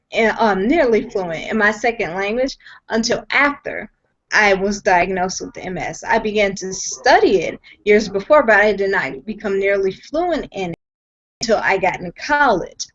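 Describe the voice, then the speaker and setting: A woman's voice: medium pitch, a bit bright. A young woman at home on a video call, telling her story in an earnest, emphatic way, often repeating herself and restarting sentences. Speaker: guest sharing her story. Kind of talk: personal testimony on a video call. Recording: dull lo-fi video call audio.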